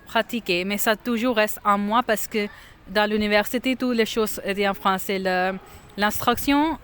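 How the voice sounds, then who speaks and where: A woman's voice: mid-range voice, a bit bright, a little hiss or hum. Hesitant young woman. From Pakistan. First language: Urdu. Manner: hesitant, halting, searches for words with many restarts. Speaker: interview guest. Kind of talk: casual interview. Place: outdoors on a city street.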